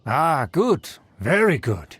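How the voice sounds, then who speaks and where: An actor playing a haughty, condescending aristocrat in a video game, speaking in a formal, grandiose manner. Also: male voice, a little high, big pitch swings, takes it slowly, fairly bright tone, husky, full character voice. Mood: smugly approving. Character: haughty, pompous male aristocrat, condescending and self-important. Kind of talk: theatrical video game voice acting.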